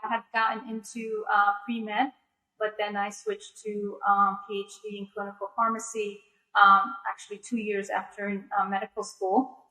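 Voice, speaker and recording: Guest: woman from Egypt, bright and tense tone, steady flat delivery, many fillers. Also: mid-range voice, poor audio. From Egypt, raised in the US. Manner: measured, with frequent fillers. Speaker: guest speaker. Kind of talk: online interview answers.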